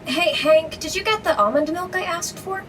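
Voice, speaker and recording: An actress playing a sharp-tongued, sarcastic young heroine. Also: female voice, very high voice, moderate pace, a little hiss or hum.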